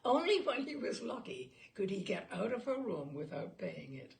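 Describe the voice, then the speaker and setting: A woman reading a literary short story aloud as a storyteller, in an animated, theatrical manner. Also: fairly high pitch, normal speed, creaky.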